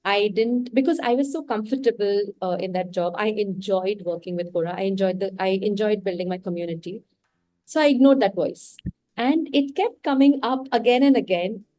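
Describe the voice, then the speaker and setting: A woman's voice: medium pitch, normal speed, warm tone, dry recording. A woman from India with an Indian English accent, a podcast guest speaking candidly and reflectively. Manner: reflective and candid, talks through her thoughts. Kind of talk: casual interview conversation.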